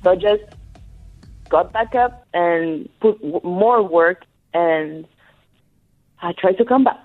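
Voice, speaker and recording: A young woman from France answering interview questions in English, soft-spoken and halting, with pauses. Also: fairly low pitch, faint music behind. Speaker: guest, athlete. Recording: tinny phone-like line.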